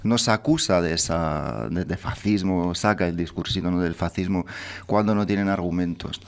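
A man in his forties from Rome, a native Italian speaker with a Madrid accent in his Spanish, giving a relaxed radio interview as a political candidate in a studio. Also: low voice, moderate pace, vocal fry. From Italy (Rome). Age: 40s. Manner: relaxed, laughs easily, restarts his phrases. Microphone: studio microphone.

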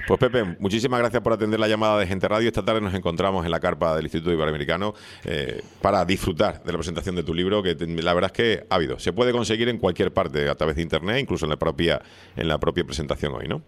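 A man from Seville hosting a radio interview, chatty and lively, laughing easily. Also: sits low, quick pace. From Seville, Spain. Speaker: radio host.

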